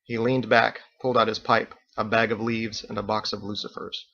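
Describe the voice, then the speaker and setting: A man's voice: fairly low pitch, flat delivery, normal speed, pushed and bright, lo-fi sound. A man reading his own novel aloud as scripted audiobook narration.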